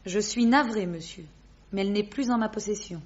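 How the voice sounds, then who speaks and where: An actress playing a poised, witty, teasing high-society lady in a French radio drama, with a playful, mocking, theatrical delivery. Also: brisk, darkish tone, breathy edge, lightly acted. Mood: poised, indignant. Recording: dull lo-fi radio recording.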